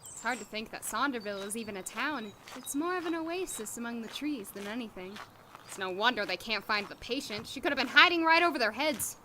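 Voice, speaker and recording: A woman's voice: fairly high pitch, lively intonation, fairly quick, sharp tone, a little hiss or hum. A woman acting a flustered, sarcastic young doctor in an audio drama, rambling wryly and easily indignant. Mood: musing, unsure. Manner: rambling, wry muttering to herself.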